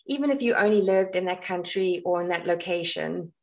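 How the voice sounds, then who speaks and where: A woman's voice: on the low side, fairly quick. A woman with a South African accent, hosting a solo podcast in a casual, conversational and explanatory way. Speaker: podcast host and astrologer.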